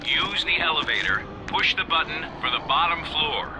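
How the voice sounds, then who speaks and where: An actor playing a male contact giving brisk directions over a radio. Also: fairly high pitch, expressive, over loud music, low-quality recording. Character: gruff male radio contact guiding the hero.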